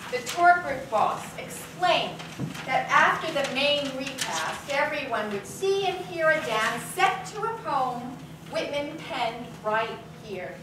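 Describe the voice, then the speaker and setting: A woman's voice: high voice, far from the mic, full character voice. A woman reading as the storyteller of a mystery novel in a theatrical staged reading. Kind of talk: theatrical scripted narration. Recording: echoey, noisy theater recording from off.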